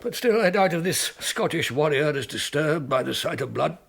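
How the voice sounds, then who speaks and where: An actor playing an ailing, imperious and dry-witted old medieval pope in a radio drama, halting and stately. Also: male voice, on the high side, lively intonation, gravelly, slight background noise. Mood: wry. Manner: halting, stately, wryly fastidious. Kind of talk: radio drama acting and first-person narration.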